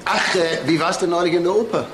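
A man's voice: high voice, fast talker, natural voice. An actor playing an eager, chatty male police investigator in a naturalistic crime audio drama. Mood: chatty, probing. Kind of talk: naturalistic German radio drama acting. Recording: clear background noise and ambience.

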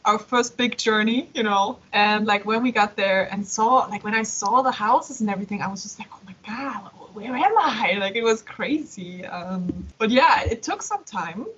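A woman's voice: medium pitch, moderate pace, few pauses, a bit bright, slightly rough. A young woman guest on a podcast, chatting casually and animatedly, full of "like" and "you know". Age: young adult. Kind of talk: casual interview chat. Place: Berlin. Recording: dull lo-fi sound.

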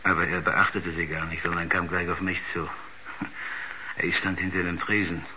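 An actor playing a rambling, uneasy village shopkeeper who stammers and repeats himself in a 1970s radio drama. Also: male voice, low-pitched, flat delivery, moderate pace, sharp tone. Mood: chatty, puzzled. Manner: hesitant, stammering, repetitive, rambling. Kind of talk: 1970s science-fiction radio drama acting. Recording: dull, noisy 1970s radio recording.

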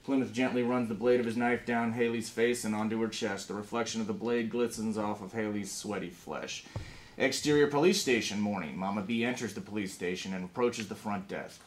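A man's voice: on the low side, a little fast. Man narrating a script, echoey room. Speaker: screenwriter reading stage directions. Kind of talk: scripted narration at table read. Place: conference room around a long table. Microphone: camera mic across the room. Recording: echoey room, distant mic, faint noise.

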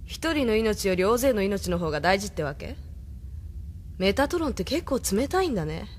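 An actress playing a brash, reproachful young female fighter in a Japanese audio drama, with a sharp-tongued, accusing manner. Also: medium pitch, big pitch swings, moderate pace, slight background noise, natural voice.

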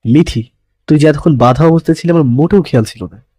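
A man's voice: a little high, quick pace, dark tone, slightly rough, dry recording. A man playing an intense, possessive young lover in a romantic audio story, pleading dramatically and mixing English words into his speech. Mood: tender, pleading.

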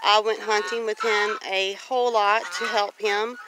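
A woman's voice: medium pitch, level tone, measured pace. A woman from Louisiana talking casually and reflectively in a plain-spoken, rambling way, like a homestead vlogger on a walk. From Louisiana, United States. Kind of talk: casual walk-and-talk monologue. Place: outdoors on a grassy farmyard. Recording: outdoor noise, farm animal sounds.

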